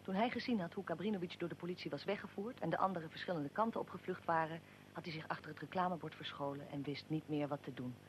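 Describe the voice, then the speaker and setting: An actor playing a solemn, probing chronicler in a radio drama, narrating in measured, declarative sentences. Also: medium pitch, slight background noise, lightly acted, rough recording. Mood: tense narration. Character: solemn, probing chronicler questioning historical figures.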